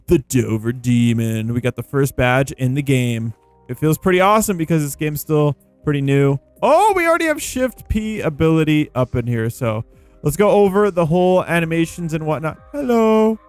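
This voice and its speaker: Higher-pitched man doing casual gaming commentary. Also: expressive, moderate pace, darkish tone, clear, resonant tone, no echo. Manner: rambling, playful, informal slang. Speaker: gaming video host. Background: background music.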